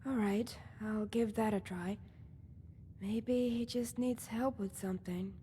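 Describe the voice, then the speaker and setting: A woman playing a dry, impatient female adventuring companion in a video game, with deadpan sarcasm. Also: mid-range voice, takes it slowly, a little hiss or hum, close to an everyday voice. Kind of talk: natural video game character acting.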